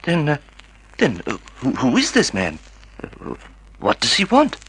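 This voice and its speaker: A man's voice: medium pitch, wildly swooping pitch, a little slow, fairly bright tone, slightly rough, heavily acted. A man acting in a radio drama as a timid, bewildered husband, hesitant and stammering. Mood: anxious, confused. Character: timid, bewildered, half-blind betrayed husband.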